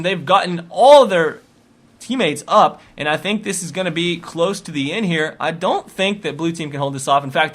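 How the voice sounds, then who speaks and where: A man giving live play-by-play commentary on a video game match, animated and rising into excited calls. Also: fairly high pitch. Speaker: esports commentator.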